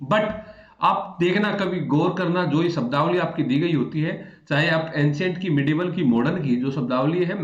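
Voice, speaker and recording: A man teaching in a conversational lecture style, repeating phrases and addressing his students directly. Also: on the high side. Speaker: history teacher for exam aspirants. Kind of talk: explanatory online lecture in Hindi with English terms. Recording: echoey room, dull muffled audio.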